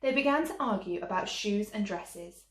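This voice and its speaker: A woman's voice: mid-range voice, lively intonation, moderate pace. A woman storyteller reading a children's tale expressively, like a teacher to young listeners. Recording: echoey room.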